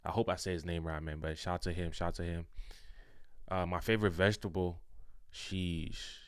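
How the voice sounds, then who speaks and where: Deep, smooth South African man. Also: flat delivery, moderate pace, dry recording, studio quality. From Congolese, raised in South Africa. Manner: loose and talkative, hyping the chat. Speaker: rapper hosting his own livestream. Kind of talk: casual livestream chat. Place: indoor livestream setup.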